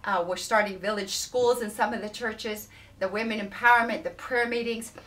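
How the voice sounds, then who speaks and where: A woman's voice: mid-range voice, fairly even intonation, moderate pace, fairly bright tone. A woman in her fifties, a charity director, thanking supporters in an earnest, grateful way from an indoor office. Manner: earnest and grateful, with emphatic restarts. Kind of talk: thank-you address to supporters. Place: indoor office beside a wall map.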